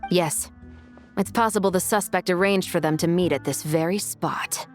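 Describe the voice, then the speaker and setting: A woman voicing a terse, low-voiced officer. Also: moderate pace, faint music behind, lightly acted. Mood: composed. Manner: terse, level, composed. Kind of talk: video game voice acting.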